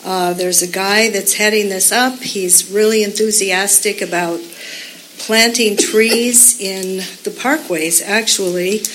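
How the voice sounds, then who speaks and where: A woman's voice: medium pitch, steady tone, slow pace, fairly bright tone, a little hiss or hum, poor audio. A woman giving an earnest, rambling public comment at a community meeting, with many fillers.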